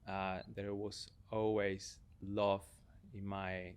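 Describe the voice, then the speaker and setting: A man's voice: deep voice, flat delivery, slow pace, clean tone. A young man from Argentina with an Argentine Spanish accent, talking reflectively as a podcast guest. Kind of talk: casual, reflective personal conversation.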